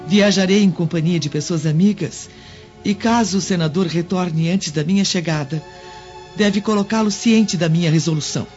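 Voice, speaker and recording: A woman's voice: fairly low pitch, normal speed, music in the background, natural voice. Dignified, resolute Roman noblewoman, muffled recording, acted by a woman. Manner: formal, composed, commanding. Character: dignified, resolute Roman senator's wife, kindly mistress. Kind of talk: dramatic radio drama acting.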